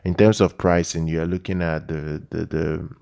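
A man giving casual product news commentary as a channel host, often repeating words and restarting phrases in a halting way. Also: low voice, level tone, creaky, a little mellow, smooth. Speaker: tech review channel host.

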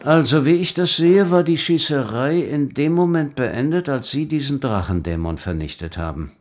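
An actor playing a firm, formal police chief in a German audio drama, brusque and authoritative. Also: male voice, mid-range voice, lively intonation, moderate pace, darkish tone, lightly acted. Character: gruff authoritative male police chief, secretly fair.

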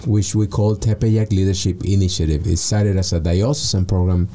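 Studio guest, thirties man from Peru, articulate and warm-toned, slight room noise. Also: sits low, fairly even intonation, moderate pace. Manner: earnest, articulate, frequent restarts. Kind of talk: radio interview. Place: small padded studio. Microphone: studio mic with headphones.

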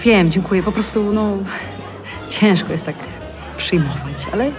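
Actress as unsure middle-aged mother, medium pitch, halting, modest, naturalistic audio drama. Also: over music, crowd noise, lightly acted. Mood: grateful, awkward. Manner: hesitant, halting. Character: unsure, modest, grateful mother in her forties.

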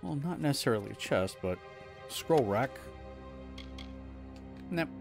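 A man's voice: medium pitch, animated, unhurried, vocal fry. A man streaming a game, casually talking to himself as he explores and fights. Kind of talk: live gameplay commentary. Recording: game music behind voice.

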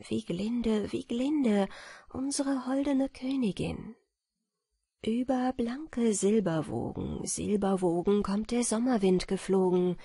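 Storybook butterfly sprite, woman performer: delicate, adoring, whispery rhymed chanting, slow and dry. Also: on the high side, dark tone, breathy edge, no room sound, full character voice. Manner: lilting rhyming chant, whispery. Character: chorus of tiny butterfly sprites, delicate, adoring their queen. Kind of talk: storybook verse reading.

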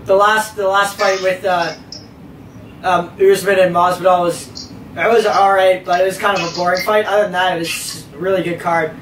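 A young man hosting a podcast, chatting casually in a rambling, profane, energetic way. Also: sits high, moderate pace, slight background noise. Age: young adult. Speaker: podcast host. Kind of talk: casual chat. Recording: echoey room.

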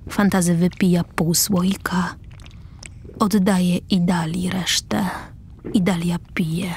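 A woman's voice: on the low side, darkish tone, a little hiss or hum. A woman reading stage directions as the narrator of a scripted radio play.

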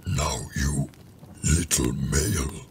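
A hulking rhino-like alien enforcer, extremely low and heavily gravelled. He barks out slow, clipped official orders in an audio drama, with a forceful edge. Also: a little hiss or hum, heavily acted. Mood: cold, commanding. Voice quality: deep, gravelly growl. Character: brusque, officious alien rhino soldier, a Judoon.

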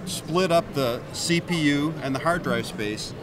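A man's voice: a little high, measured pace, noisy background. A man in his fifties, a company executive, explaining his product in an upbeat way on a trade show floor, into a handheld mic. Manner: upbeat, explains while pointing at hardware. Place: busy indoor expo hall. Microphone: handheld mic held by interviewer.

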